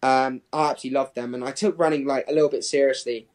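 A man in his twenties speaks as a guest in a casual interview. His voice sits at a medium pitch. He rambles conversationally, dropping "like" into his sentences again and again. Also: steady tone, rapid-fire, darkish tone. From Hong Kong and England. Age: 20s. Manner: rambling, peppered with "like". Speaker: professional distance runner, interview guest.

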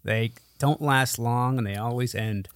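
A man's voice: mid-range voice, measured pace, no echo, clean studio sound. A man co-hosting a podcast in casual comedic banter, talking in a sardonic, teasing, foul-mouthed way. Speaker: podcast co-host.

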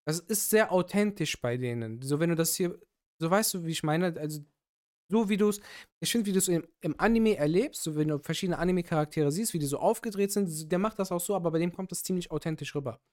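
A man co-hosting a casual podcast chat, relaxed and hesitant with many fillers. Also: a little high, fast. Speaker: podcast co-host.

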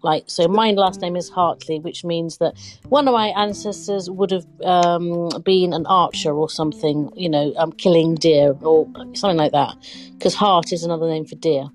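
English lady on a podcast, lowish voice, chatty and expressive, bit of music behind. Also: moderate pace. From England. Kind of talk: casual podcast chat.

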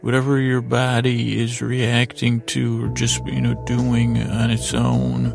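A man hosting a sleep podcast, rambling softly and hesitantly through a lulling bedtime monologue, often repeating himself and trailing off. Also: mid-range voice, completely flat delivery, slow pace, creaky, soft background music. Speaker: podcast host.

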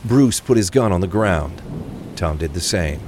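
A man's voice: deep voice, lively intonation, unhurried, a little mellow. A man reading scripted narration for a crime thriller audio drama. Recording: faint rain and traffic ambience. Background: rain, vehicle sounds.

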